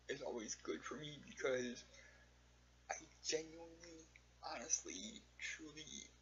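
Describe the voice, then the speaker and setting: A man recording a casual, rambling personal vlog, speaking earnestly and haltingly in short phrases with long pauses. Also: medium pitch, gravelly, fairly bright tone. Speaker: vlogger. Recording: dull lo-fi audio with faint hum.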